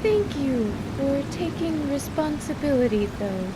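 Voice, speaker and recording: Casting: woman playing reserved female mage-teacher for radio theatre, formal delivery, clear stream and rain ambience. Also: high voice, animated, a little slow, heavy background noise, voice pushed up. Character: guarded, composed female healer and mage teacher. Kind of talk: fantasy radio drama acting.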